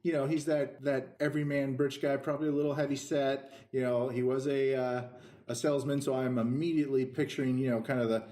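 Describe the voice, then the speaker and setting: A man co-hosting a casual podcast, explaining things in a halting, hedging way. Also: medium pitch, little pitch movement, warm tone. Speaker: podcast co-host. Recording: echoey room.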